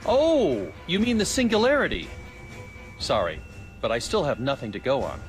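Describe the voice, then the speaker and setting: A man's voice: medium pitch, huge pitch swings, normal speed. A man playing a sly, inquisitive scientist in a video game, with a wry, needling, theatrical way of talking. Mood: teasing, probing. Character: sly, inquisitive male scientist, teasing and knowing. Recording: background music under the voice.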